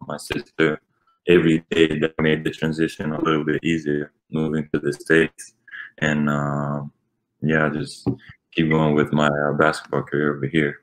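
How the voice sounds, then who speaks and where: A man's voice: a bass voice, rough recording. A young man from Switzerland, a native Italian speaker and college basketball player, answering interview questions with many fillers. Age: young adult.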